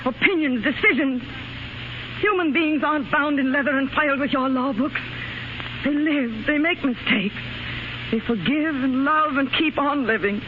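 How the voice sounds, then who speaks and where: A very high-voiced, desperate young mother and estranged wife acted by a woman in a 1930s radio play. She pleads theatrically and with passion. The old recording is dull and clearly noisy. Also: moderate pace, lightly acted. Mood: impassioned pleading. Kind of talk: 1930s radio drama acting.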